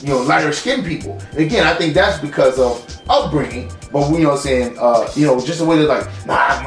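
A man in his thirties from South Florida argues his points emphatically and casually as a co-host, constantly checking that he is understood. He talks fast. The sound is echoey and slightly distant, like a home room, with faint music behind. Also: on the high side, expressive. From South Florida, United States. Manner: emphatic, peppers talk with "you know what I'm saying". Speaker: co-host of online discussion show. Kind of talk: casual opinionated debate.

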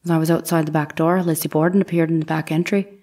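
An actress playing a young housemaid giving testimony in an audio drama, answering in plain, brief, deferential replies. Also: female voice, deep voice, steady tone, fast talker, warm tone. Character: young Irish housemaid on the witness stand.

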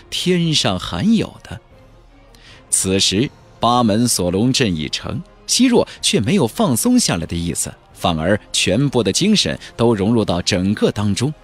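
A man narrating a fantasy audiobook in a theatrical, expressive storytelling manner. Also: lowish voice. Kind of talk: dramatic scripted narration of a fantasy novel. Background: background music.

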